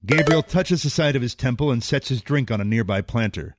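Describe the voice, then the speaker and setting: A man's voice: mid-range voice, lively intonation, fairly quick, noisy background. A man reading scripted, dramatic narration for an audio drama. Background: prominent music.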